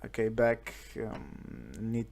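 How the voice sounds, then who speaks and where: Very slow, halting Russian-accented man. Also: fairly low pitch, sing-song, gravelly, noisy background. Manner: halting, hesitant, searching for English words. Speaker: amateur radio operator. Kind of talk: casual chat over amateur radio.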